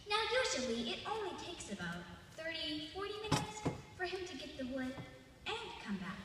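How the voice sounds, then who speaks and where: A young girl of about eleven tells a folk tale to a live audience in a high, theatrical voice. Her pitch moves freely and she leans on dramatic pauses. The sound is distant and washed in the long reverb of a large arena, with faint noise. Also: bright, forceful tone. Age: child, 11. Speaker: storyteller. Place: large indoor arena, live festival stage.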